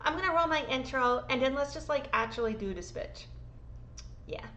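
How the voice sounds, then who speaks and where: A woman's voice: high voice, lively intonation, moderate pace, background noise. Woman vlogger in her thirties, under the weather with a sore throat, rambling and emotional, in a roomy-sounding space. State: sick, sore throat, voice lower than usual. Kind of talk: casual rambling vlog. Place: home room being packed up.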